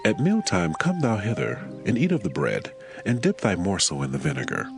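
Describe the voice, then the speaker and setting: Man acting a deep-voiced, kindly ancient landowner, Boaz. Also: wildly swooping pitch, brisk, vocal fry, over music, full character voice. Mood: kindly, instructing. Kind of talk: dramatized scripture reading, archaic English.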